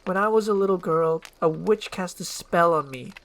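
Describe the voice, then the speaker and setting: A woman voicing an earnest, anxious young princess who hides an ogre form, in an animated film script. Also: on the low side, normal speed, pitched above the performer's own voice, natural voice. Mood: sad, earnest.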